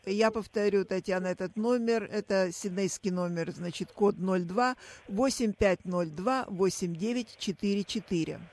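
A man hosting a radio interview, asking questions in a formal, polite, measured way. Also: sits high, a little mellow. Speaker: radio host.

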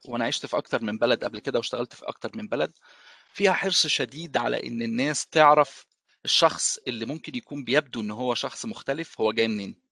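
An Egyptian man with an Egyptian Arabic accent, recounting a personal experience as an interviewee, pausing often with drawn-out fillers. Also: medium pitch, creaky, a bit bright, dry recording, clean studio sound. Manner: thoughtful, pauses with drawn-out fillers.

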